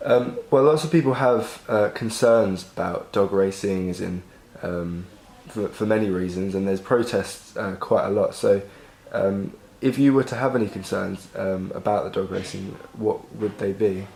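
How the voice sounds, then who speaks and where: Lowish guy doing interview questions, lots of ums, echoey room. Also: moderate pace, vocal fry, a little mellow. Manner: hesitant, many ums.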